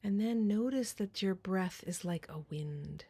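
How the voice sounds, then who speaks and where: A woman leading a guided meditation in a soft, breathy, deliberate voice with long pauses. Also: medium pitch.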